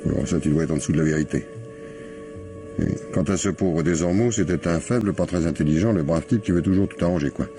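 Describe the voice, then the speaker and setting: An actor playing a shrewd, probing police commissioner in a radio mystery, with a colloquial, wry manner. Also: male voice, low-pitched, quick pace, music in the background. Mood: brisk, wry. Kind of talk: French radio mystery play acting.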